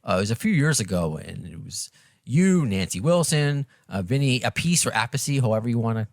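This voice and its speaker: A man's voice: mid-range voice, expressive, brisk, dry recording. A man with a New York accent hosting a podcast, chatting casually in a rambling, self-deprecating way. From Long Island and Brooklyn, New York. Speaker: podcast host. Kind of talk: casual interview chat.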